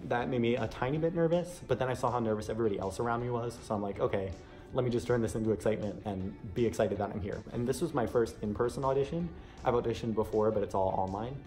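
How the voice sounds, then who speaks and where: A man's voice: mid-range voice, fast talker, darkish tone, soft background music. A man telling a personal story in a casual, chatty vlog style, often dropping "like" into his sentences. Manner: chatty, frequent "like" asides. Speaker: vlogger recounting an audition.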